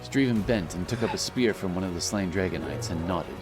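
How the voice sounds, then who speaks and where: Deep-voiced man narrating fantasy. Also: expressive, brisk. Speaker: audiobook narrator. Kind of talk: scripted narration of a fantasy story. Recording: loud music behind the voice.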